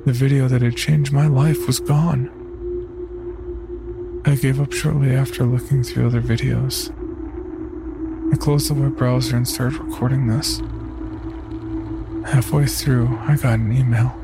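An actor playing a haunted, disfigured young man narrating a first-person horror tale with somber, hushed dread. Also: medium pitch, creaky, noisy background. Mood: tense, fearful.

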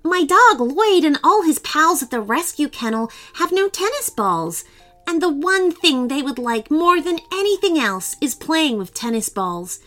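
A woman voicing a polite, determined young girl in a storybook reading, earnest and bright. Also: sits high, big pitch swings, unhurried, faint music behind, voice pushed up, exaggerated voice.

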